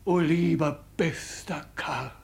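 An actor playing a devout, loyal old manservant of seventy-one in a radio drama, humble, emotional and pleading. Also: male voice, a little high, slow pace, gravelly. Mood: tearful affection. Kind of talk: classic German radio drama acting.

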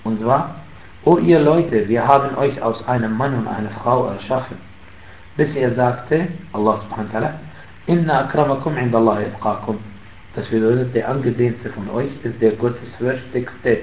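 A man giving a religious lesson in German, emphatic on key points and pausing with fillers. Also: mid-range voice, a little hiss or hum. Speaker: Islamic teacher. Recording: roomy, muffled, faint ambience.

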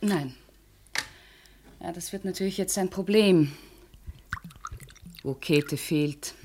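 An actress playing a composed, sharp-witted, articulate woman in a German radio crime drama. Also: on the low side, slow pace, slight background noise. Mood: courteous, reserved.

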